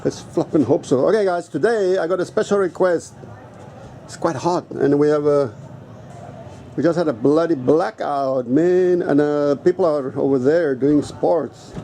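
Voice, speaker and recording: A man's voice: a little high, measured pace, dark tone, a little hiss or hum. A native Afrikaans-speaking man vlogging outdoors in a casual, rambling and blunt way, swearing now and then. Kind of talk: casual rambling vlog talk.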